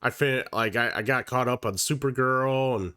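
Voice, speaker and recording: A man's voice: medium pitch, moderate pace, pushed and bright, clean tone, dry recording, studio quality. A man hosting a live stream of board games, chatting casually while sick and sounding slightly nasal, swearing freely. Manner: casual and profane, with many fillers and restarts. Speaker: livestream host. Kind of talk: casual livestream chat.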